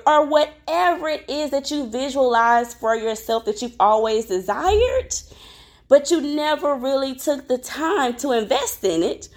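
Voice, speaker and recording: A woman, hosting her own podcast in a chatty, upbeat way, with a slightly crackly, worn voice. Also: on the high side. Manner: chatty and upbeat, addresses listeners directly, many fillers. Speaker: podcast host. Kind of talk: casual solo podcast chat.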